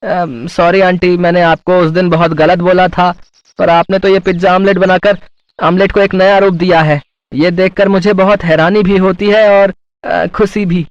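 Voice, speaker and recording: A man's voice: high voice, level tone, normal speed, no room sound, highs cut off. A man playing an earnest, respectful young street vendor in a cartoon story. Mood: apologetic. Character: humbled young male street-food seller, apologetic. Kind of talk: Hindi moral-story cartoon voice acting.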